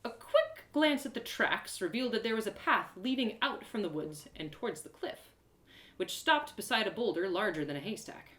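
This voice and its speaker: A woman playing an excitable young heroine who tells her own woodland adventure in a theatrical, self-deprecating audio drama style. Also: on the high side, echoey room. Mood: suspenseful. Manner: theatrical, breathless exclamations, gasps, self-deprecating humour. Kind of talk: one-woman audio drama storytelling, first person.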